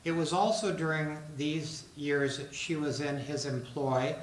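A man's voice: on the high side, steady tone. Older man lecturing in a roomy hall. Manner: measured, with frequent uh fillers and restarts. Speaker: guest lecturer. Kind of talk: public lecture on an artist. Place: large lecture room with a seated audience. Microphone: podium microphone, recorded by a far camera. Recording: roomy hall with faint noise.

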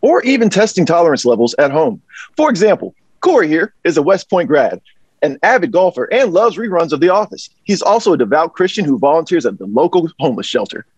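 A man performs a slick, upbeat pitchman in a satirical script. His voice is fairly high for a man and very lively, with a husky edge. The sound is close and dry. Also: fairly quick, no room sound. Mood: upbeat, persuasive. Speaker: actor in a table read. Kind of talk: theatrical sales pitch. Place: small indoor storeroom, video call.

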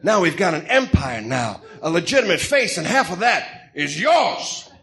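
A man playing an excitable, self-important Greek crime boss in a comic-book radio drama, melodramatic and blustering. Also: sits high, normal speed, heavily acted. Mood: pleading, persuading.

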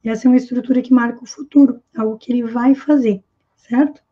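A woman in her thirties teaching an online English lesson, slowly repeating example phrases and explaining them with care. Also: fairly high pitch, steady tone, lo-fi sound. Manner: slow, careful repetition of example phrases.

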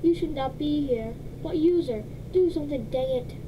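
A woman voicing a bossy, panicky female operating system in a video game, shrill and excitable. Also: moderate pace, natural voice. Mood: indignant. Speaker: game narrator voice. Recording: noisy game capture.

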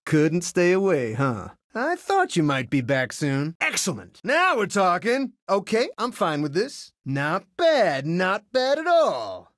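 An actor playing a cocky, friendly young resistance pilot in a video game, breezy and wisecracking. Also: male voice, sits high, huge pitch swings, slow, few pauses, fairly bright tone, no echo, studio quality, full character voice. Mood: warm, friendly. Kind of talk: video game character voice clips.

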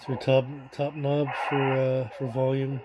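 A man giving a casual, halting hands-on walkthrough as a radio hobbyist, in loose unscripted phrasing. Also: mid-range voice, level tone. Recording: loud radio static and hiss. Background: shortwave receiver noise.

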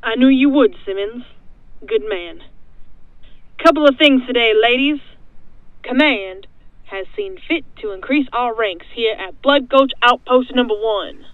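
A woman voicing a gruff, bossy, sarcastic male army sergeant in a fan parody cartoon dub, barking out orders. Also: fairly high pitch, a little slow, no echo, phone-quality sound, natural voice. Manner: barking, drawling mock orders.

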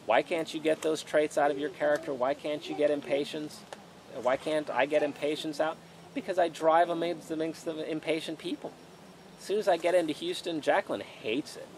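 A man's voice: a little high, steady tone, brisk, a little hiss or hum. A man in his forties, a pastor leading an outdoor sermon and Bible study, reading passages aloud and then preaching in a conversational way, recorded by a camera at a distance outdoors under a tent canopy.

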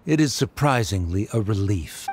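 A man's voice: lowish voice, expressive, normal speed, darkish tone, a little hiss or hum, natural voice. A man voicing a stern, haughty middle-aged scholarly father in a video game, with a cold, pompous manner. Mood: disdainful. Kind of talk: video game dialogue acting.

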